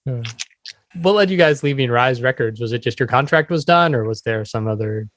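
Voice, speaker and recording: A man's voice: medium pitch, expressive, fairly quick, a bit bright. A man hosting a podcast, asking questions in an informal, casual chat style. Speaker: podcast host.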